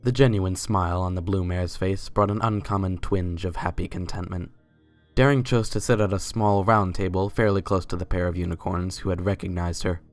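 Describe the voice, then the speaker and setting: A man's voice: low voice, steady tone, moderate pace. A man reading scripted story narration in a dramatic reading. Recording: music under the voice. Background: background music.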